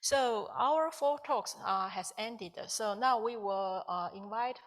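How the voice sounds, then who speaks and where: A woman chairing a conference session, introducing a speaker and moving to questions, in a halting way with repeated words. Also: mid-range voice, big-room sound.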